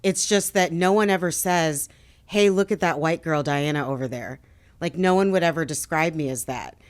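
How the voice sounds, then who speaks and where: A woman hosts a podcast interview in a notably low voice. She asks questions and backs the guest up with short, encouraging replies. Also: normal speed. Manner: attentive, encouraging listener. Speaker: podcast host.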